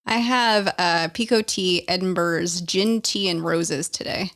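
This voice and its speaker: A woman's voice: lowish voice, measured pace, a bit bright. A woman co-hosting an education podcast, reading guest introductions steadily. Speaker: podcast co-host and moderator. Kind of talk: scripted introduction.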